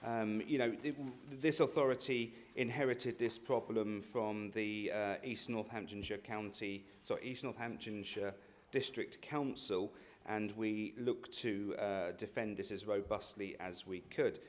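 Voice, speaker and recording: Low-voiced councillor, halting and measured. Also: male voice, steady tone, slight background noise. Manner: halting, measured, many fillers. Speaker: councillor answering questions. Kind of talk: council meeting reply.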